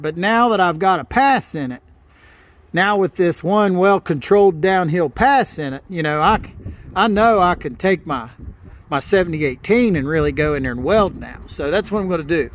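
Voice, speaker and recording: A man's voice: a little high, no room sound. A middle-aged man giving a hands-on welding tutorial outdoors beside a truck, plain-spoken and often restarting his phrases as he explains. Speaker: welder teaching on camera. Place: outdoors by a log truck in a gravel yard.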